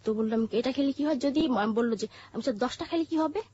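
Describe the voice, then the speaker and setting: High-voiced Bangladeshi woman, husky and halting. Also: warm tone. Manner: halting, with frequent pauses and repeated phrases. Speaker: guest telling own life story. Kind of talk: personal life-story narration in an interview. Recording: muffled, dull lo-fi audio.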